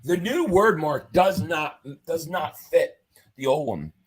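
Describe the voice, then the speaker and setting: A man's voice: fairly high pitch, lively intonation, slow. A man hosting a casual sports livestream, reading viewer comments aloud as an upbeat fan while he loses his voice. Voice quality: husky, fading voice. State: losing his voice. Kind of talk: casual live-chat commentary.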